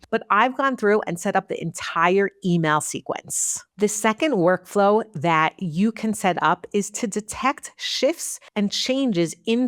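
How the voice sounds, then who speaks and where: A woman's voice: on the low side, a bit bright, no room sound, clean studio sound. A woman hosting an instructional explainer video, walking viewers through steps in a presenter's style. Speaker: business automation video host and company co-founder.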